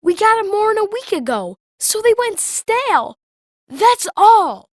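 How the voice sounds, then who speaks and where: A woman voicing an upset, rough-spoken young boy mage with streetwise, ungrammatical speech in an audiobook. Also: extremely high pitch, very animated, unhurried, no room sound, clean studio sound, full character voice. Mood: distraught, pleading. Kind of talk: audiobook character acting.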